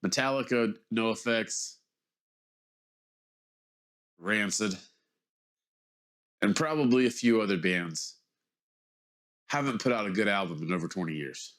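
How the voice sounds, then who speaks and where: A man in his forties with a low-pitched, slightly stuffed-up voice. He talks casually to his vlog audience, hesitating and correcting himself as he works his way around to a point. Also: slow pace, long pauses, fairly bright tone. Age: 40s. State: mildly congested. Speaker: vlogger. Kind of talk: casual rambling monologue to camera.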